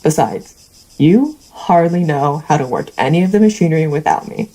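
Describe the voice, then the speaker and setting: A woman's voice: sits low, lively intonation, normal speed, warm tone, rough recording. A woman acting as a blunt, dry, cynical ranch hand who yearns for a better life, in an audio drama. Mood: pleading, frustrated.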